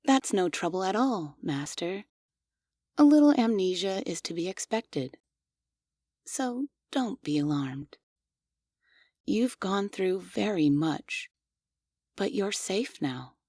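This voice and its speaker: A woman as a slow, doting robot maid. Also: medium pitch, big pitch swings, no room sound, studio quality, exaggerated voice. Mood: gentle, doting. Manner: soft, formal and servile, with quietly unsettling questions. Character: devoted obsessive female android maid, polite but creepy. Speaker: voice actress. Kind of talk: ASMR audio roleplay.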